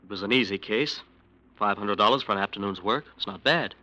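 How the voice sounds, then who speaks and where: An actor playing a defensive, uneasy lawyer, hesitant and self-justifying, in 1940s radio drama style. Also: male voice, fairly low pitch, fairly quick, bright, forceful tone. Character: defensive, uneasy male lawyer working for a gangster. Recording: noisy vintage radio recording.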